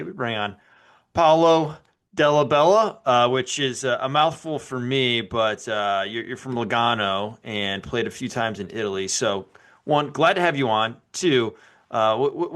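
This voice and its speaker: A man's voice: medium pitch, moderate pace, fairly bright tone. A man hosting a podcast, asking questions in a casual, upbeat way with frequent restarts. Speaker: podcast host. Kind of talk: podcast interview and ad read.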